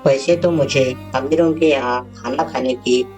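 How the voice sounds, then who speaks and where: A man's voice: mid-range voice, fairly even intonation, normal speed, darkish tone, voice pushed up, close to an everyday voice. A man voicing a poor, proud, hardworking village mother in a cartoon moral story, plain-spoken and dignified. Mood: proud, reproachful. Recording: distant voice over faint music.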